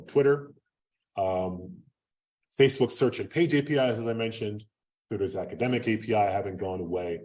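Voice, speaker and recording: A man giving an unscripted academic lecture in a loud, projecting voice, talking conversationally with lots of fillers and restarts. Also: fairly low pitch. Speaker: guest lecturer. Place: lecture room. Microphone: speaking away from the microphone. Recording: distant room mic.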